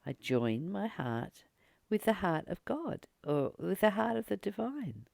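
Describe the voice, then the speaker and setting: This spiritual teacher speaks at a very unhurried pace, with plenty of fry in a mid-pitched voice. The delivery is earnest and reflective, breaking thoughts into short, deliberate phrases. The sound is close and dry. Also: sing-song, a little mellow, no echo. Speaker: spiritual teacher reading and commenting on a text. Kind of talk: reflective spiritual study, reading aloud with personal commentary.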